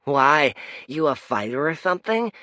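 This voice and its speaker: A man voicing a scraggly, grinning street urchin boy who is cheeky and excitable in a storybook reading. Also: normal speed, no room sound, clean studio sound. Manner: cheeky, excitable, shrill when shouting.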